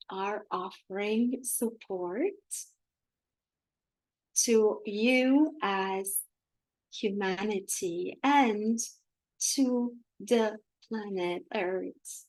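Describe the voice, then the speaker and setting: A woman with a Romanian accent voicing a loving collective of star beings that speak as one, in a very slow, measured, solemn way. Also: mid-range voice, darkish tone, no room sound, poor audio. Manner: very slow, measured, solemn delivery with long pauses.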